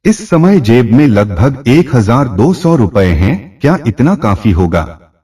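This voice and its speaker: A man's voice: medium pitch, big pitch swings, slow, mellow, slightly rough, recorded from a distance. A man narrating a scripted short story as a storyteller, recorded a little away from the mic.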